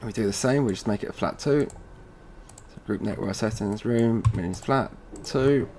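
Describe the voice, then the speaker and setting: A man presenting an informal software tutorial, casually thinking aloud as he goes step by step. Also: on the low side, unhurried. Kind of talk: informal screen-recorded software walkthrough. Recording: noisy room with keyboard typing.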